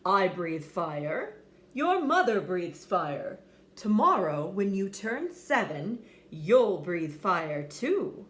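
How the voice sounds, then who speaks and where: Woman as stern dragon father, storybook reading, loud, slow, emphatic, demanding. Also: on the low side, big pitch swings, reverberant. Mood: proud. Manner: emphatic, forceful, drawn-out.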